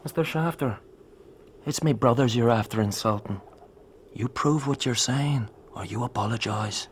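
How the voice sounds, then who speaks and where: A man's voice: medium pitch, moderate pace, slight background noise, lightly acted. An actor playing a bold, hot-headed young Irishman in a radio drama, with an Irish accent and a brash, defiant manner. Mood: angry, proud.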